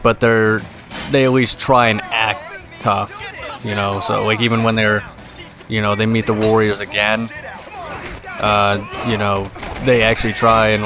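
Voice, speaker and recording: A young man giving casual gameplay commentary, tired and rambling in a monotone voice. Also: fairly low pitch. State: exhausted. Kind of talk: casual let's play commentary. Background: game music.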